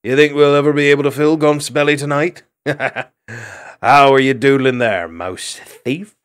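A man's voice: mid-range voice, measured pace, clean tone, close to an everyday voice. A man voicing a fat, jolly old male hedgehog chieftain with hearty, lilting folksy speech.

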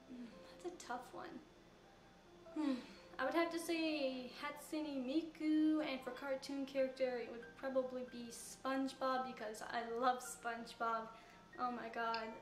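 A teenage girl from Canada with a high-pitched voice, chattily answering fan questions in a casual Q&A. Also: reverberant. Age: teens. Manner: chatty, rambling with fillers. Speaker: YouTuber answering Q&A. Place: room with couch, recorded in a reacted video.

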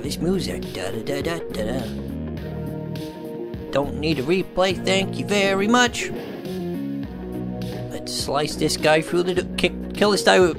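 An animated man with a slightly high voice hosts a gaming video over clear game music. His running commentary is playful, exclamatory and full of cheeky jokes. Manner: jokey, exclamatory, cheeky puns.

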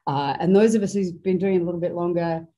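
A woman in her forties with a rough, hoarse voice, hosting a live webinar in a chatty, welcoming way. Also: on the low side, fast, mellow, lo-fi sound. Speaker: webinar host. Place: studio with branded backdrop.

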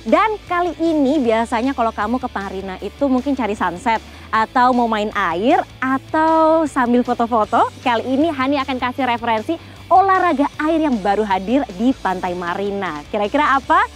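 Young woman, high pitch, upbeat travel host, animated, faint music. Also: normal speed. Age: young adult. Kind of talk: upbeat presenting and interviewing. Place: outdoors on a grassy seaside shore.

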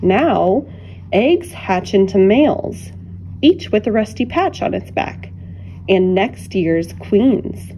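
A woman reading a children's picture book aloud with deliberate, expressive phrasing and dramatic pauses. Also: medium pitch, slight background noise, poor audio. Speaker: children's storybook reader.

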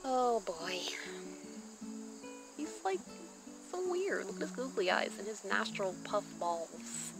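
A woman's voice: mid-range voice, very animated, slow, creaky, long pauses, over loud music. A woman chatting casually and exclaiming as she shows things off in an informal, gushing show-and-tell outdoors. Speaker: vlogger showing thrift finds. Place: outdoors on a patio or deck at a table.

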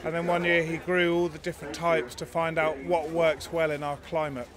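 A man's voice: a little high, flat delivery, moderate pace, a crowd in the background. A man in his thirties at an outdoor show stall, casually explaining his produce into a handheld interview mic, with some fillers and restarts. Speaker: garlic farm stall worker. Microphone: handheld mic held by the interviewer.

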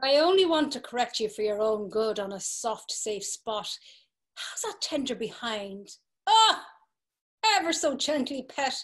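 An actress playing a domineering brothel madam, commanding and mocking, in a dramatic reading over a video call. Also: female voice, fairly high pitch, very animated, measured pace. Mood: taunting. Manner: commanding, taunting. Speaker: stage actor.

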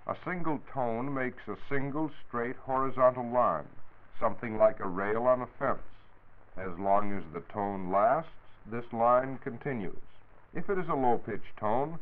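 A man's voice: mid-range voice, unhurried. An American man giving a formal, precise scripted explanation as a 1940s radio science reporter. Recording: tinny, phone-like 1940s radio recording.

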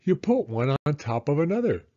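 Older man voicing an eager little girl pig, raised pitch. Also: sing-song, normal speed, mellow, dull audio. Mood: encouraging.

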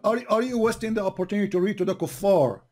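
Male call-in host, voice going hoarse; high, insistent, argumentative. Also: fast, darkish tone. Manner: insistent, repeats questions. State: losing his voice. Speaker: show host. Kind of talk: heated call-in debate.

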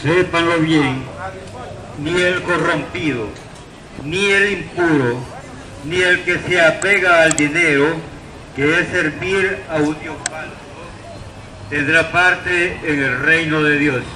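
Slow man reading scripture aloud. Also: fairly high pitch. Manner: deliberate, phrase by phrase. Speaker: scripture reader. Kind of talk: solemn Bible reading aloud. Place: outdoor gazebo in a public town square. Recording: echoey, noisy outdoor recording. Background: traffic noise.

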